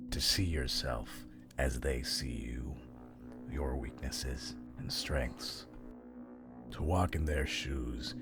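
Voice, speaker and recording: A man playing a cold, calculating agent of a secret order, narrating an audio drama solemnly and deliberately in a gravelly voice. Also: a bass voice, full character voice. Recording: loud music under the voice.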